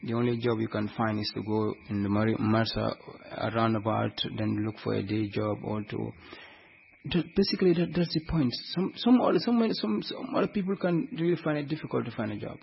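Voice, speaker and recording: A man speaking at a panel discussion about his own experience, halting and repeating words as he searches for them. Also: on the low side, gravelly, slight background noise. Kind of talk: unscripted discussion. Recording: dull lo-fi recording.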